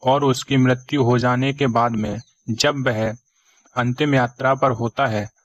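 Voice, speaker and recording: A man playing a benevolent, fatherly God who speaks in a measured, solemn, instructive way in a cartoon moral story. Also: mid-range voice, fairly even intonation, highs cut off, close to an everyday voice. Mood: gently instructive. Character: benevolent male God, fatherly, patient, reassuring.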